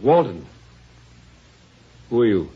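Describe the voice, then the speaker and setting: Surly salesman, gruff and confrontational, vintage radio drama, dull noisy sound. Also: on the low side, sing-song, unhurried. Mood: groggy, suspicious. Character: groggy, surly traveling salesman turning belligerent. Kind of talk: old-time radio drama acting.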